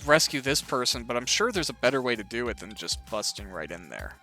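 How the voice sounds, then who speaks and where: A man's voice: mid-range voice, big pitch swings, brisk, sharp tone, faint music behind. A man hosting a casual interview while gaming, conversational and often thinking aloud. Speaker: interviewer and host.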